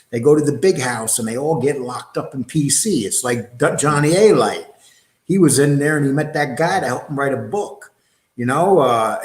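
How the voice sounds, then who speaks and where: A man's voice: fairly high pitch, echoey room. A man hosting a livestream talk show, blunt and rambling, throwing out rhetorical questions and reading viewer comments. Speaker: show host.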